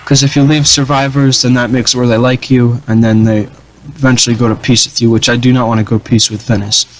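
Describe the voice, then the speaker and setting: Young guy doing gameplay commentary at his desk, flat dull voice, lots of ums and restarts, ordinary mid pitch. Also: fast. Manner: rambling, many fillers and restarts. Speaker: gaming channel host.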